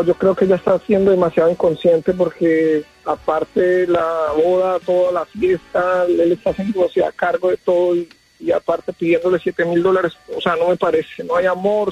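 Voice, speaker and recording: Husky high-pitched Colombian man on muffled call. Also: level tone, normal speed, a little mellow. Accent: Colombian, from Medellín. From Medellín, Colombia. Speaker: caller. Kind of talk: casual radio call-in opinion. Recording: dull, muffled call over music.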